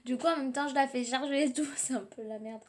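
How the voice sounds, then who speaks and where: Hoarse, tired young woman vlogging. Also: high voice, fast talker. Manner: rambling, many fillers and restarts. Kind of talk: casual daily vlog chat. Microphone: tablet camera.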